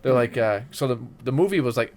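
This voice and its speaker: A man of medium pitch chats very quickly and informally, with plenty of enthusiasm. The recording carries faint room noise behind his voice. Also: animated, a little mellow. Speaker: podcast co-host. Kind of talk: casual chat about films and games.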